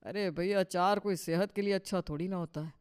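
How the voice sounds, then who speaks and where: A man's voice: sits high, steady tone, a little fast, a little mellow, clean tone, close to an everyday voice. A man playing a henpecked older father-in-law in a Hindi cartoon story, teasing and placating in a colloquial, folksy way. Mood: placating, sly.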